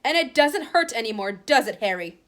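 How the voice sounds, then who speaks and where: A woman's voice: on the high side, lively intonation, normal speed, a bit bright. A woman voicing an earnest, clever twelve-year-old girl in a soothing, reasonable tone.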